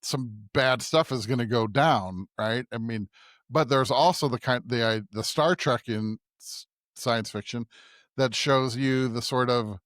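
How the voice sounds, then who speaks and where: A man co-hosting a podcast in casual discussion, halting and repeating words as he seeks agreement. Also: medium pitch, a bit bright, no echo, studio quality. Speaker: podcast host.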